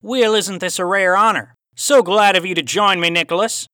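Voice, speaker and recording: A man voicing a menacing, philosophical cowboy villain in an audiobook, with an Australian accent touched by cowboy twang and a formal, taunting, deliberate manner. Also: sits high, fairly even intonation, pushed and bright, dry recording, clean studio sound, voice pushed up. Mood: mocking menace. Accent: Australian with a hint of cowboy twang. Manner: formal, deliberate, sinister rhetorical questioning. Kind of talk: audiobook character voice.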